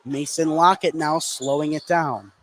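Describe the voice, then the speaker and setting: A man doing live play-by-play radio commentary of a basketball game, calling plays excitably as they happen. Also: fairly high pitch, a little slow. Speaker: play-by-play sports announcer. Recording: noisy live gym broadcast.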